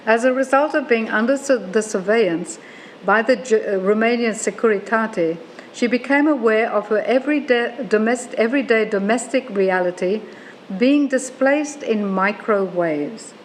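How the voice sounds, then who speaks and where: A woman who grew up in Australia with German as her first language, giving an academic lecture. She reads at a measured pace, corrects herself, and turns to the audience with questions. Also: medium pitch, a little hiss or hum, rough recording. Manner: measured reading with asides to the audience and self-corrections. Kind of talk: academic lecture, reading a paper aloud. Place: lecture room.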